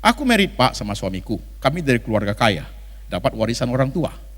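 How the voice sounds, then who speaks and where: A man's voice: medium pitch, big pitch swings, brisk, a bit bright, voice pushed down. A man acts out an older wife, bitter and worn by years of hurt. The voice is plaintive and confiding, rising and falling widely, in a reverberant hall as part of a sermon story. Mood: aggrieved. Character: aggrieved older wife, bitter and long-suffering.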